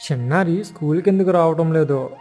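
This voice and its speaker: A man voicing a kindly, encouraging village schoolteacher with a teacherly manner in an animated moral story. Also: on the high side, brisk, faint music behind, lightly acted. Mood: concerned.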